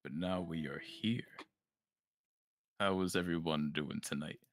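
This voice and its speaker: A woman co-hosting a livestream, calm and reassuring as she greets the chat. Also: rumbling low, measured pace, no echo, studio quality. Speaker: livestream co-host.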